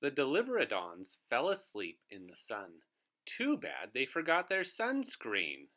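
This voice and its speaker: A man's voice: medium pitch, huge pitch swings, a little slow, sharp tone. A man reading a children's storybook aloud as host, expressively, putting questions to his young listeners.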